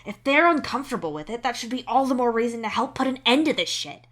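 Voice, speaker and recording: A woman's voice: a little high, animated, fast talker, fairly bright tone, voice pushed up. A woman voicing an earnest, indignant male alien troll with a blunt, emphatic delivery.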